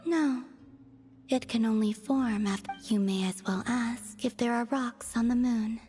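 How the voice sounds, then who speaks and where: Woman playing a soft, high-voiced serene moon maiden. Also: loud music behind the voice, natural voice. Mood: calm, grave. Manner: calm, measured, formal. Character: serene, mysterious young moon maiden with divine power. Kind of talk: anime video game voice acting.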